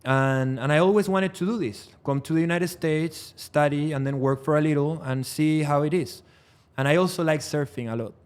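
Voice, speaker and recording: A man's voice: a little high, moderate pace. A man in his thirties from Chile, a Spanish native speaker with a Chilean accent, explaining things step by step as a guest in a podcast interview. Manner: thoughtful, explains step by step with many fillers and restarts. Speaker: trial lawyer, podcast guest. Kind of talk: casual interview chat.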